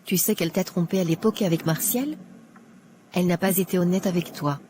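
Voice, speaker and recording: A woman playing an indignant, betrayed friend in an animated drama. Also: lowish voice, brisk, slight background noise, lightly acted. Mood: accusing.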